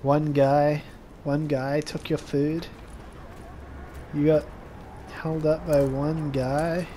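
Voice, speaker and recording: A man doing sarcastic, crude video game commentary in a deadpan way. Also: a little high, very slow. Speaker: gameplay commentator voicing the protagonist's thoughts. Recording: game ambience and noise behind voice. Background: video game action sounds.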